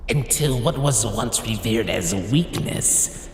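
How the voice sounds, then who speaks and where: An actor playing a sinister, pompous horror anthology host, narrating in grandiose, menacing style with heavy reverb. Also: male voice, medium pitch, lively intonation, slow pace. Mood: ominous, pompous. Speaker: show host. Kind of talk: theatrical scripted narration. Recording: echoing reverb, noisy ambience behind.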